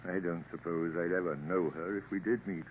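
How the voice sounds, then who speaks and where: An actor playing a gentle, weary older father in a 1950s radio drama, soft-spoken and wistful. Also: low-pitched, fairly even intonation, fairly quick, natural voice. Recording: tinny old radio broadcast, faint hiss.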